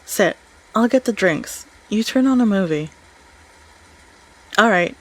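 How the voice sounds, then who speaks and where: A woman portrays a playful, caring female friend in an audio roleplay. She sounds casual and soothing, teasing lightly. Her voice is slightly husky and she takes her time. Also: medium pitch, lively intonation, slow, poor audio. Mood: sympathetic, playful.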